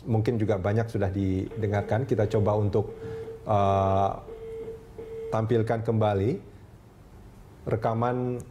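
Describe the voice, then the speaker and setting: Male news talk host, low register, soft mellow tone, conversational studio interviewing. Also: a little hiss or hum.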